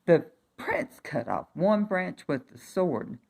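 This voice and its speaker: A woman reading a fairy tale aloud for young children, expressive, with chatty asides and emphatic repetition. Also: lowish voice, slow, gravelly, slightly rough.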